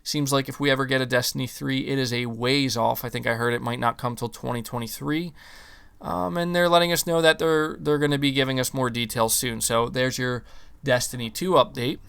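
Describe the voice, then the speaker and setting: A young man hosting a gaming podcast, ranting in casual talk with some swearing, his voice starting to go hoarse. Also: medium pitch, steady tone, brisk, fairly bright tone. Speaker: podcast host.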